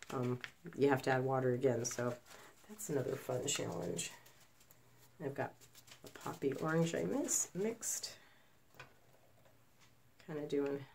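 A woman with a low, gravelly, mellow voice, stuffy from a cold, talking through a paint pour in a relaxed, chatty way. She is recorded on a phone at a home craft table, with a faint hiss underneath. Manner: chatty, self-deprecating asides. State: has a cold, snuffly. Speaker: acrylic pour artist. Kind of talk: casual tutorial chat while working. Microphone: phone camera overhead.

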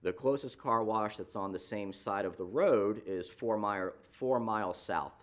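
Municipal planner, low voice, measured explanatory delivery, lots of "uh"s and restarts. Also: male voice. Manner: explanatory, frequent fillers and restarts. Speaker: city planning staff presenter. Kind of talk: staff report at a public meeting. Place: council chamber.

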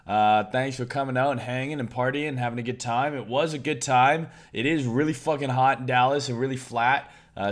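Young male crypto streamer, hoarse, loud, excitable, casual swearing. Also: mid-range voice. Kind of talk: casual live-stream chart commentary.

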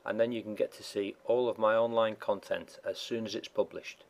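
A man reading a short promotional pitch for a support subscription in a plain, even way. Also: brisk, vocal fry, lo-fi sound. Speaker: channel owner pitching a subscription. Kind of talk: read promotional plug.

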